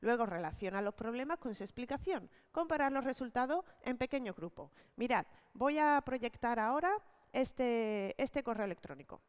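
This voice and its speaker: A woman leading an online teacher-training talk, explaining step by step and often checking in with quick tag questions. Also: a little high, expressive, smooth. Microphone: video call.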